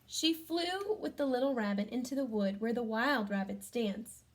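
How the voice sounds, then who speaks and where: Lady reading a picture book to little kids, kinda high voice, talks gently right to them. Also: moderate pace. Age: adult. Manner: gentle child-directed questions and comments. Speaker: storybook reader for children. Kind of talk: read-aloud storytelling with chatty asides to young listeners.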